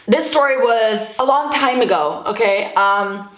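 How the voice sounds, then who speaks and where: A young woman from Sweden rambles through a casual storytime, packed with likes and casual swearing. Her voice sits a little high. The room gives the recording a noticeable echo. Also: measured pace, a bit bright. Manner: chatty, swears casually, lots of likes and fillers. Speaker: YouTuber.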